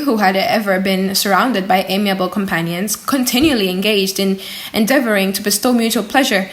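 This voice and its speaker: A woman reading an audiobook in a theatrical, expressive and slightly playful style. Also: mid-range voice. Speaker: audiobook reader. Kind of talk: dramatic, slightly silly audiobook narration. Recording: echoey room.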